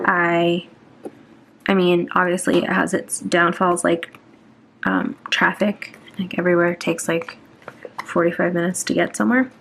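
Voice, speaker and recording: Slow, gravelly-voiced young woman rambling nervously. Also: on the low side, fairly bright tone. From Atlanta, Georgia, US. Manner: rambling, self-conscious, many ums and restarts. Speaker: planner vlogger. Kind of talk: chatty plan-with-me voiceover while decorating a planner.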